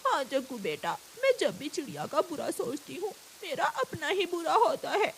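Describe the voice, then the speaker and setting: A woman voicing a spiteful mother crow in a Hindi cartoon, with a husky voice. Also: sits high, sing-song, moderate pace, a bit bright, slight background noise, exaggerated voice. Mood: rueful. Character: spiteful mother crow, gloating then rueful.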